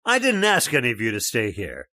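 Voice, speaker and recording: A man's voice: mid-range voice, huge pitch swings, a little fast, pushed and bright, no echo, clean studio sound. An actor playing a stubborn, skeptical middle-aged father in a radio horror drama, scornful and dismissive. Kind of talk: old-time radio drama acting.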